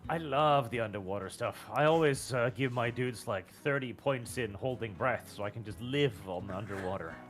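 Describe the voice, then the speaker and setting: A Norwegian man speaking British-sounding English, chatting casually on a game stream with wry, mock-serious, halting comic timing. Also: mid-range voice. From Norway. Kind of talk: casual chat. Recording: music behind the voice. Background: music.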